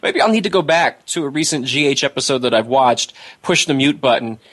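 A man hosts the interview. His voice sits at an ordinary pitch, and he talks in an easy, rambling way, reaching for fillers as he goes. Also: lively intonation, a little fast, a bit bright. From United States. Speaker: interview host. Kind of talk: casual interview chat.

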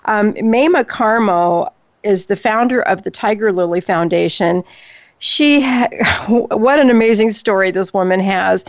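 A woman's voice: medium pitch, a little slow, no room sound. Brief: older woman host, chatty and upbeat, dry dull sound. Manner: upbeat, chatty, laughs often. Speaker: radio show host. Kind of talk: hosting a talk radio show.

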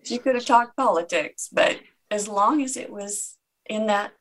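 A woman's voice: medium pitch, a little slow. A woman whose first language is Czech, speaking as a guest in an interview with an earnest, reflective manner. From Czech Republic. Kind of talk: conversational interview.

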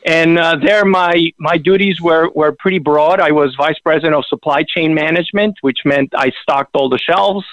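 A man's voice: fairly high pitch, moderate pace, few pauses, a bit bright, no echo, rough recording. A man from Argentina with an Argentine accent, a radio show guest telling a personal story with wry, self-deprecating humor.

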